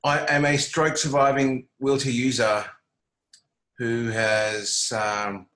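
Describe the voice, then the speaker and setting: A man in his fifties speaks with slurred articulation from lip paralysis, choosing his words carefully and deliberately. He has a middle-pitched voice and a touch of dry, self-mocking humor. The video call audio sounds roomy and echoey. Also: flat delivery, fairly bright tone. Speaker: panelist, screen and performing arts producer.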